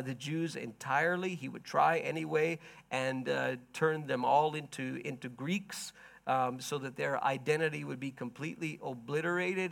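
Hoarse male preacher, gravelly and slightly high, measured storytelling delivery for a Bible lesson. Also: fairly bright tone, no room sound. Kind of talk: sermon-style Bible history lesson.

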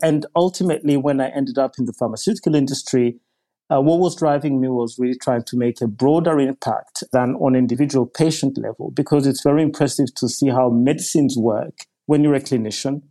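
Male physician guest, measured explainer with a dark, mellow voice. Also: medium pitch. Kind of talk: podcast interview answer.

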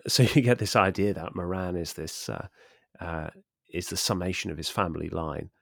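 Lower-voiced man, wry bookish podcast chat. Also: normal speed, creaky, a bit bright, no echo, studio quality. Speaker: podcast host. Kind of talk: conversational literary discussion, quoting passages.

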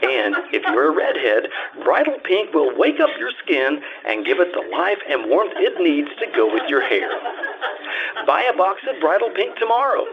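Old radio ad guy, emphatic sales pitch, super animated, tinny phone-like sound. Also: moderate pace, crowd noise. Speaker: commercial announcer. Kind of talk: old-time radio cosmetics advertisement, scripted. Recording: very tinny band-limited audio with noise.

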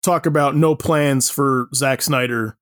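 A man's voice: mid-range voice, steady tone, a little slow, no echo, studio quality. A man hosting a casual panel chat, talking informally with the others. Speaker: podcast host.

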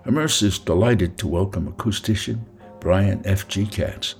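A man's voice: low-pitched, a little slow, soft background music. A man hosting an interview podcast, speaking as presenter and interviewer. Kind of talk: scripted introduction, then unhurried interview talk.

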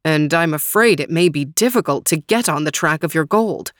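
Calm, measured wise man, as a woman narrator puts him on. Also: low voice, dry recording, studio quality. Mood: probing. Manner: measured, counseling.

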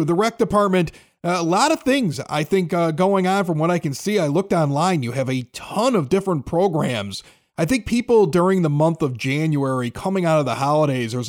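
A man hosting a radio show, interviewing guests in a casual, conversational style. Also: fairly high pitch.

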